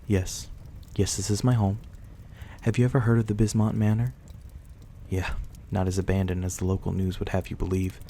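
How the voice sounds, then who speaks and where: A man playing a courteous, reclusive young-looking vampire in an intimate ASMR roleplay, speaking softly and reassuringly in a politely old-fashioned way. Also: deep voice, level tone, normal speed, a little hiss or hum. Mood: candid, playful.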